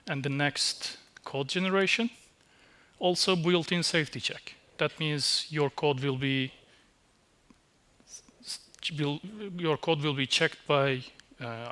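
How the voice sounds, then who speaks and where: A man, giving a technical conference talk on a dark stage, halting with fillers and restarts. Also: on the high side. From Turkey. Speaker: conference speaker. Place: dark stage in a small venue.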